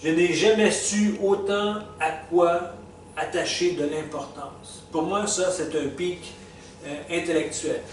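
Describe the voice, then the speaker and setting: A man's voice: a little high, steady tone, distant mic. Québécois man in his sixties, echoey room. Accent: Québécois French. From Quebec. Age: 60s. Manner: halting, with frequent fillers, restarts and chuckles. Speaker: retired vlogger reflecting on life stages. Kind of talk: casual spoken reflection. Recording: echoey room, speaker well back from the mic, faint hiss.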